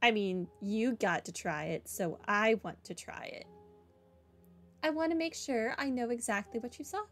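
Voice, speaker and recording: A woman's voice: fairly high pitch, normal speed, fairly bright tone, soft background music. A woman giving casual commentary as she plays a video game, with dry, wry asides. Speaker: gamer doing a playthrough.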